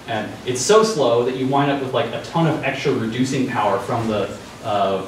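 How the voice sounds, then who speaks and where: A man's voice: mid-range voice, noisy background. Young guy presenting slides, lots of ums, echoey room, recorded from far back. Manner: loose and conversational, many ums and restarts. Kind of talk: informal science news talk. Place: dark lecture room with a projector. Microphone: far room mic.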